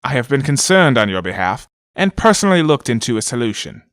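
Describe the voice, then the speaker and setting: A man's voice: medium pitch, big pitch swings, fairly quick, fairly bright tone, no room sound, clean studio sound. A man narrating an audio drama, reading letters and documents in a scripted style.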